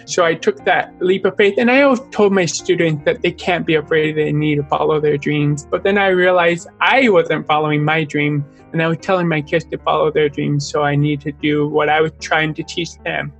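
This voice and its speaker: A young man with the accent of a deaf speaker, an artist talking earnestly and openly as an interview guest, sometimes halting over a word. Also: fairly high pitch, steady tone, fairly bright tone, faint music behind. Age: young adult. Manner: earnest, open, at times halting speech. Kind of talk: casual interview conversation.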